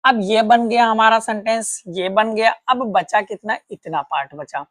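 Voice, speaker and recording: A woman's voice: a little high, unhurried, no echo, studio quality. A woman teaching a patient, step-by-step grammar lesson, asking questions and answering them herself. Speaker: English teacher.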